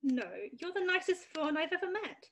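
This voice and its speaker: A woman's voice: very high voice, moderate pace. A woman voicing an innocent, polite little girl in an amateur screenplay readthrough, with an earnest, simple manner. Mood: reassuring.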